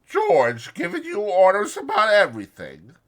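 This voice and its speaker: High-pitched, coaxing young wife. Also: male voice, wildly swooping pitch, normal speed, a bit bright, exaggerated voice. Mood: teasing. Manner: coaxing, folksy uneducated speech. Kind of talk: dramatized audiobook character acting.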